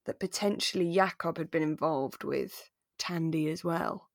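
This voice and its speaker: A woman co-hosting a podcast in relaxed, informal, readily amused chat, recapping as she goes. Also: low voice, unhurried, gravelly, dry recording, clean studio sound. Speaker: podcast co-host.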